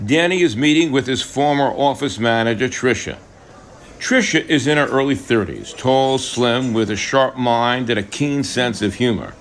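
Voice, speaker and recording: A man's voice: medium pitch, fairly bright tone. A man narrating a scripted radio drama, setting scenes and introducing characters.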